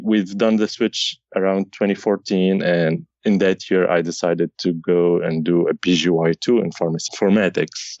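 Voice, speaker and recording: A man's voice: low-pitched, a little mellow, no echo, lo-fi sound. A man in his thirties from Syria, a pharmacist answering podcast interview questions in a conversational way, often restarting his sentences. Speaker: pharmacy informaticist guest.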